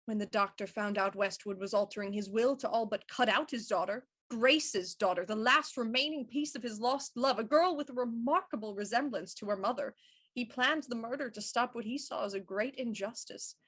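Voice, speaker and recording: Shrewd victorian lady detective, highish voice, theatrical, confident explaining, radio mystery style. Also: normal speed, fairly bright tone, husky. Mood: confident deduction. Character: shrewd, self-assured female Victorian police inspector explaining a murder. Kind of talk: audio drama murder mystery acting.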